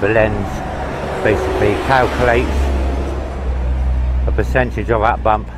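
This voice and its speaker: A man's voice: lowish voice, steady tone, measured pace. A man vlogging as he walks along a city street, chatting to viewers in a casual, opinionated way, recorded on a handheld camera. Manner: chatty, opinionated, talks to viewers while walking. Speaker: travel vlogger. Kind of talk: casual walk-and-talk narration. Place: outdoors on a busy city roadside. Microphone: handheld camera mic. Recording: street traffic noise behind.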